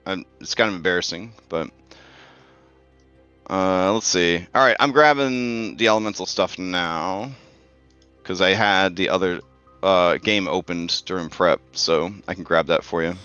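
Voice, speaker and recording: A man's voice: sits low, unhurried, sharp tone, smooth, lo-fi sound, soft background music. A man in his forties, the game master, narrating a tabletop session in a chatty, joking way with many fillers. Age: 40s.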